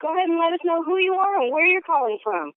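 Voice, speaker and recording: A woman's voice: very high voice, animated, fast, dry recording. A woman hosting a conference call, bubbly and enthusiastic, repeating her welcomes to each caller. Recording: phone line.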